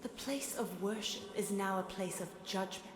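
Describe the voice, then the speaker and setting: A woman's voice: mid-range voice, moderate pace, natural voice. A woman playing an arrogant, vengeful fire goddess in a video game, speaking in a haughty, sardonic, theatrical way. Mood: contemptuous. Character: vengeful, arrogant fire goddess bound in a sword, mocking and bloodthirsty. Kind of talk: scripted video game character voice lines. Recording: roomy echo, clear background static noise.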